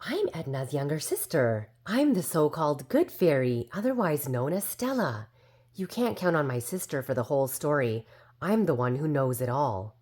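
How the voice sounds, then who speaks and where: A woman's voice: sits low, very animated, normal speed, darkish tone, breathy edge, heavily acted. A woman voicing a prim, know-it-all younger sister fairy, telling a storybook tale in a smug, critical, gossipy way. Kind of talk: storybook character narration.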